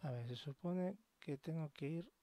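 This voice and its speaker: A man's voice: fairly high pitch, normal speed. A man with an accent from Spain giving casual gameplay commentary, muttering to himself and swearing casually. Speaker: video game streamer. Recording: noisy, game sounds behind the voice. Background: loud horror game ambience.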